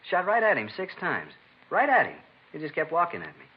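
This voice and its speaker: Rattled American shopkeeper, talking fast. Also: male voice, a little high, wildly swooping pitch, husky, full character voice. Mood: shaken, incredulous. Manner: agitated, halting, emphatic. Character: rattled male shopkeeper, a robbery victim, incredulous and talkative. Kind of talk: 1950s radio crime drama acting. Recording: dull, noisy vintage radio recording.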